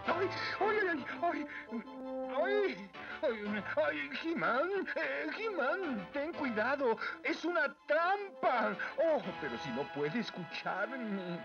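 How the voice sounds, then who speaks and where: A man's voice: very high voice, very animated, takes it slowly, vocal fry, heavily acted. A man voicing a cowardly, anxious talking tiger in a cartoon, whiny and melodramatic, with a Latin American accent. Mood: panicked. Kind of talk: Latin American Spanish cartoon dub acting. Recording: dull lo-fi cartoon soundtrack with music.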